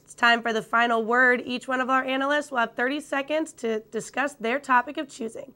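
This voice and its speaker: A woman's voice: high voice, fairly even intonation, fairly quick, sharp tone. A young woman presenting a student sports chat show as its host. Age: young adult.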